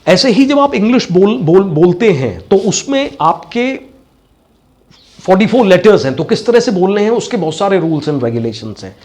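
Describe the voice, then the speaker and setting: Man, Indian accent, slightly high voice, accent teacher, didactic, bilingual lecture delivery, repetitive explanations. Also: sing-song, moderate pace, a little mellow. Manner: didactic, repeats key phrases.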